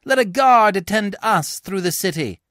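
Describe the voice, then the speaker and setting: A man's voice: high-pitched, lively intonation, normal speed, fairly bright tone, dry recording, clean studio sound, pitched above the performer's own voice. A man voicing a crowd of rowdy Roman citizens who chant and jeer together. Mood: jubilant. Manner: chanting, jeering.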